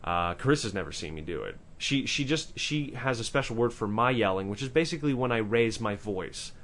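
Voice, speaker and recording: A man with a powerful voice co-hosting a podcast in casual discussion, talking in an animated way with swearing, restarts and asides. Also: medium pitch, fairly quick, a little hiss or hum. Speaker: podcast co-host.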